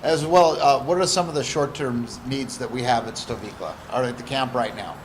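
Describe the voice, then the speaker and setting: A man's voice: medium pitch, a little fast, a little hiss or hum, poor audio. A man hosting an interview, asking short upbeat questions and closing the segment. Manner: brief, upbeat prompts.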